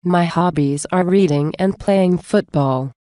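A woman reading English example phrases for a language lesson, saying many of them twice in a measured way. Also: lowish voice, mellow, no room sound, studio quality. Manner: measured, repeats each phrase slowly. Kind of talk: slow scripted phrase reading.

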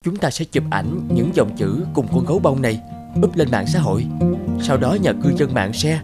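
A man's voice: medium pitch, animated, moderate pace, loud music behind the voice, close to an everyday voice. A man voicing an anxious, polite young female shop clerk in earnest cartoon dialogue. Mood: determined. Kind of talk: cartoon moral-tale dialogue.

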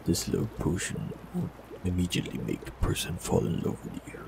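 A man voicing a warlock in an audio roleplay, talking calmly and reassuringly to the listener. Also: low voice, fairly quick, creaky, noisy background. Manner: calm, gentle, reassuring.

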